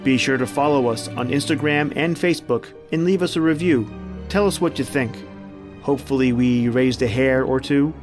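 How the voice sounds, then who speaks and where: A man's voice: medium pitch, moderate pace. A man hosting a podcast, reading a scripted sign-off. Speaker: podcast host. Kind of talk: scripted outro narration. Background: prominent music.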